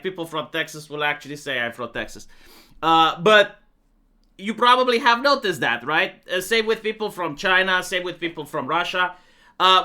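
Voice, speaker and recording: A young man with a Romanian accent talks through his political views in a loose, opinionated monologue. His voice is very bright and sits a little high, and he leans on plenty of "uh"s and "like"s as he goes. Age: young adult. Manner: opinionated, matter-of-fact, many fillers. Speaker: political commentator.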